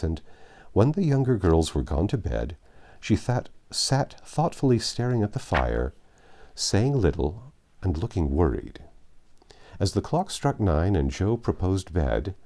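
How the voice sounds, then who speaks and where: A man's voice: sits low, dark tone. A man narrating a classic novel expressively as an audiobook reader.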